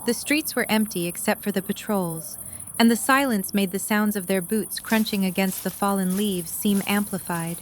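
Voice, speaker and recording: A woman's voice: mid-range voice, normal speed, a little hiss or hum. A woman reading scripted story narration for an audio drama.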